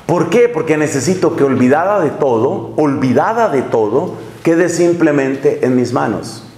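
Expressive friar preaching in an echoey hall. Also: male voice, a little high. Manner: expressive, gesturing, slows to stress key phrases. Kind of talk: lecture-style sermon. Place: large tiled hall with a projection screen.

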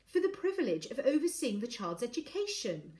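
A woman storyteller acts out a middle-aged male politician, a father with little patience. He is pompous and curt, his pitch moving freely as he orders people about in a storybook. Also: sing-song, moderate pace, fairly bright tone, echoey room. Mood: impatient. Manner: curt, irritable, self-important.

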